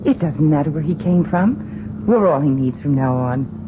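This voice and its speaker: A woman's voice: sits low, expressive, normal speed. An actress playing a tender, determined wife and mother in an old-time radio drama, earnest and emotive. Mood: tender, resolute. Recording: noisy, phone-like old radio recording.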